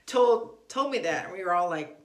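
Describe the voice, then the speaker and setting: Low-pitched woman teaching in an echoey room. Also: lively intonation, moderate pace. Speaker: homeschool instructor. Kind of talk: informal instructional talk. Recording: echoey, roomy sound.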